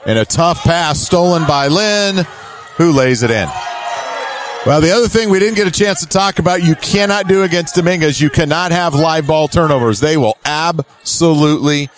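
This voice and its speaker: A man's voice: a little high, normal speed. A man doing live basketball play-by-play in clipped running phrases, with emphatic calls. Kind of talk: live sports commentary. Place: courtside at an indoor basketball game.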